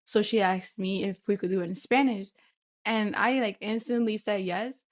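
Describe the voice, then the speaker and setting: A woman with a medium-pitched voice hosts a casual podcast conversation. She is informal and agreeable, often feeling her way through a thought in short, halting phrases. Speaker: podcast host. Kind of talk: casual chat.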